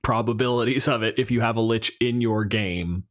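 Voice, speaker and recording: Male podcast co-host, medium pitch. Casual, argumentative, sweary, thinking out loud. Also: brisk. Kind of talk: casual, joking podcast discussion of game monsters.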